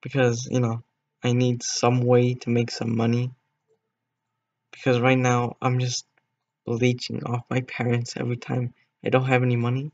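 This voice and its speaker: A man's voice: mid-range voice, monotone, moderate pace, darkish tone, dull audio. A teenage boy streaming a game, chatting casually with viewers in a rambling way, voice cracking now and then. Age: teens. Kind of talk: casual gameplay stream chat.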